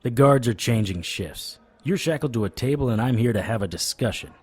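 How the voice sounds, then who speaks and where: An actor playing a wry, tough supernatural private investigator in a noir audio drama, with a deadpan, sardonic delivery. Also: male voice, mid-range voice, a little fast, breathy edge, no room sound. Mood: threatening.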